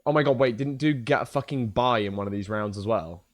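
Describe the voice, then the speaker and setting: A young man with a hoarse voice, chatting casually and joking on a livestream. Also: medium pitch, brisk. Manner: joking, trash-talking, swears a lot. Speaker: streamer.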